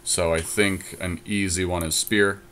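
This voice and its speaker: A man's voice: deep voice, fairly even intonation, measured pace, a bit bright, slight background noise. A man co-hosting a podcast, talking through card picks in casual chat, thinking aloud with many fillers. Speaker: podcast co-host.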